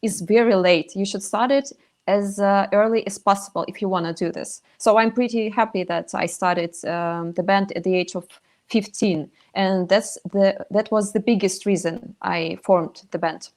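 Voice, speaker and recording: Russian-accented woman, halting and thoughtful. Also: medium pitch, steady tone. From Sakha Republic, northern Russia. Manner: halting, thoughtful, many fillers and restarts. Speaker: singer in a metal band, guest. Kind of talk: casual interview answers.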